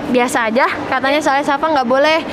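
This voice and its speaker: High-voiced young woman hosting outdoors. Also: fairly even intonation, fast talker. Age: young adult. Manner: bubbly, laughs often, asks questions. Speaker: podcast host. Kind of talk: casual podcast chat. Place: outdoors at an eatery. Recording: noisy outdoor street.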